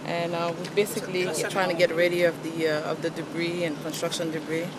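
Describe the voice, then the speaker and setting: A man from Haiti working as a site inspector, answering questions outdoors in a polite, measured way with some hesitation. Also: fairly high pitch, flat delivery, heavy background noise. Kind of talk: street interview. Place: outdoors at a street debris site.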